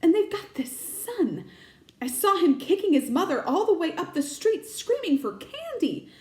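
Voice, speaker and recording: A woman's voice: very high-pitched, big pitch swings, moderate pace, pitched above the performer's own voice. A woman voicing a stern, anxious older witch professor from a storybook, exasperated and emphatic, faltering when upset, in an echoey room. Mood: distressed, indignant.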